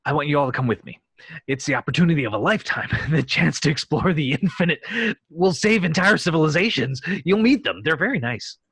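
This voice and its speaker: Husky man playing an earnest, pleading captain. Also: on the high side, expressive, brisk, a bit bright, no room sound, full character voice. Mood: excited, pleading. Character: earnest, pleading actor known as a starship captain. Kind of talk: amateur table read of a film script.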